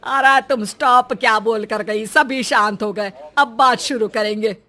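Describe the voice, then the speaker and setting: A woman's voice: on the high side, fairly even intonation, a little slow, pushed and bright. A woman voicing a grumpy, impatient older mother-in-law who scolds and complains in a story.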